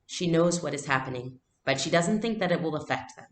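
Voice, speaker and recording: A woman reading a storybook aloud as a gentle, reassuring mother speaking to her child. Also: sits low, animated, brisk, reverberant.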